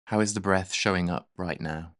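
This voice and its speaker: Low male voice, really slow n gentle, guided meditation, dry close sound. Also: steady tone, dry recording. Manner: gentle, unhurried, soothing delivery.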